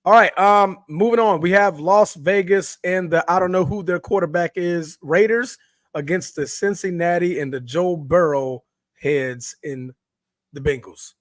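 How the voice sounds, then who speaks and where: A man with a somewhat high, raspy, hoarse voice talks through game picks in a loose, rambling way, with lots of ums and you-knows. The sound is dry and close. Casual sports-podcast chat. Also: unhurried, no room sound. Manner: relaxed, rambling with many fillers. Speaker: podcast co-host.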